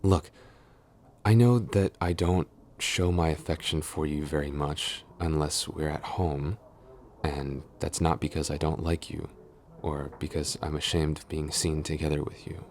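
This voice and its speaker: A man playing a shy, easily flustered tsundere boyfriend and office coworker in an intimate audio roleplay, with a halting, stammering delivery. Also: extremely low pitch, steady tone, darkish tone, slight background noise. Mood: shy, embarrassed.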